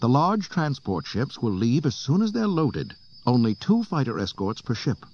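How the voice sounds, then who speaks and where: A man reads as an earnest rebel woman leader in a space audiobook. The pitch is lifted and the briefing is firm and urgent. The tape sounds dull. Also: very animated, moderate pace, darkish tone. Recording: dull cassette transfer with hiss.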